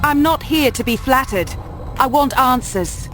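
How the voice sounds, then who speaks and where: A woman's voice: sits high, lively intonation, a little slow. An actress playing a defiant, principled young woman of a knightly order in a video game, challenging and indignant. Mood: defiant, questioning. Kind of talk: video game cutscene acting. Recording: music under the voice.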